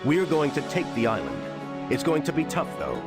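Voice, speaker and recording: US military briefer for a war game: grave, resolute, lively pitch movement, music behind. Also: male voice, moderate pace. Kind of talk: scripted military briefing narration. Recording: voice over loud music. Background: loud music.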